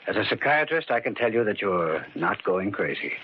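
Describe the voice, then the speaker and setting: A man's voice: on the low side, very animated, moderate pace, husky. An actor playing a psychiatrist who reassures his patient in a firm, insistent way in 1940s radio drama style. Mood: firm reassuring. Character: brisk male psychiatrist, firmly reassuring. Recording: dull old radio recording.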